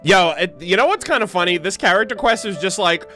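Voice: medium pitch, lively intonation, brisk, sharp tone, soft background music. A streamer giving casual, slangy, joking commentary on a game playthrough. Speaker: gaming streamer.

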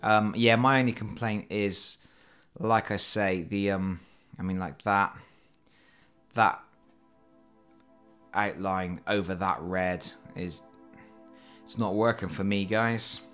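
A man's voice: lowish voice, fairly even intonation, slow pace, pauses often, a bit bright, smooth, faint music behind. A man with a cold, hosting a casual, chatty board game unboxing and talking over his hands at a table. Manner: chatty and self-deprecating, with fillers. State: has a cold. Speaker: board game channel host. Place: indoors at a table.

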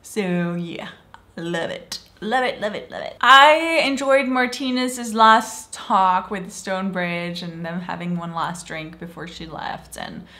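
A woman's voice: on the low side, bright, forceful tone. A young woman from Switzerland giving a casual, enthusiastic review of a TV show, often acting out lines from it, in a small room. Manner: enthusiastic, playfully acts out quoted lines.

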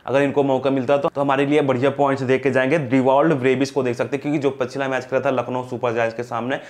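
A man's voice: medium pitch, flat delivery, fast talker. Young male cricket video host, chatty and direct, lapel mic, small studio. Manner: chatty, addresses viewers as brothers. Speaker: cricket fantasy team predictor. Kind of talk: casual cricket analysis to camera. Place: small indoor studio, grey backdrop.

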